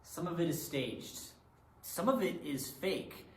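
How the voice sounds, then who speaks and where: A man performing readers theatre as a blunt reality TV producer, with deliberate dramatic emphasis. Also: fairly high pitch, lively intonation, a little hiss or hum. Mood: dramatic, knowing. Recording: echoey room.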